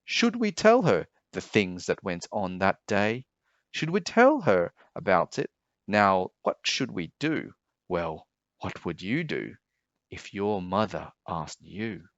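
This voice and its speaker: Slow, low-voiced man reading to children. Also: very animated, a bit bright, dry recording, muffled recording. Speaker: storybook reader and channel host. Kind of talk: expressive children's read-aloud.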